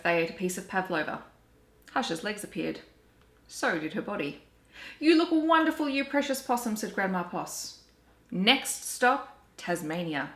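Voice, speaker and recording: An Australian woman reading children's storybooks aloud in an animated, deliberate storytelling way. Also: fairly low pitch. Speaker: children's story reader and book vlogger. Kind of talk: expressive storybook read-aloud. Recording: roomy, echoey home recording.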